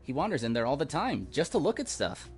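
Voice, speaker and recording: A sardonic young man, lively pitch. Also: fairly quick, faint music behind. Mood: matter-of-fact. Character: guilt-ridden young man, a bitter house employee. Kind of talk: video game voice acting.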